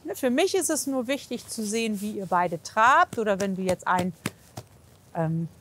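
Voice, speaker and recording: A woman's voice: mid-range voice, lively intonation, moderate pace, a bit bright. Encouraging woman coach with faint background noise. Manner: encouraging, reassuring, with frequent praise. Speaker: horse physiotherapist assessing horse and rider. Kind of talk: casual coaching chat and commentary.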